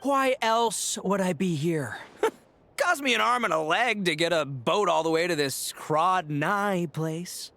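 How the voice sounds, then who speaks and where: A man playing a boastful, goofy young gang boss with a loud, theatrical swagger in a video game. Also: sits high, expressive, takes it slowly, over music, heavily acted. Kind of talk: animated video game voice acting.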